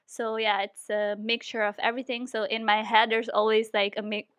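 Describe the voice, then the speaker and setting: A woman of thirty-one from Colombia chatting as a co-host, pausing often to search for words. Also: fairly high pitch, level tone, fairly bright tone, clean tone, no echo. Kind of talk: casual group talk show chat.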